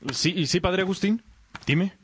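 An actor playing an obedient young novice, earnest and respectful, in a religious radio drama. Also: male voice, sits high, unhurried, a bit bright, slight background noise. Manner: respectful, deferential.

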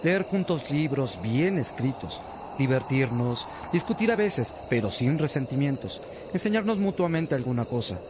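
An actor playing an earnest, attentive disciple of a priest, speaking in a measured way in a religious radio drama. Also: male voice, medium pitch, animated, background noise, close to an everyday voice. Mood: earnest reflective.

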